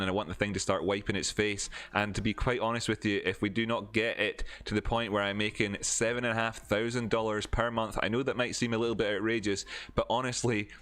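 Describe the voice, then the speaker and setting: A man's voice: on the low side, a bit bright. A Scottish man hosting a trading livestream, chatting casually with viewers and frankly repeating words for emphasis. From Scotland. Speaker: crypto trading livestream host. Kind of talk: casual livestream chat reading viewer comments. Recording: faint music under the voice. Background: faint background music.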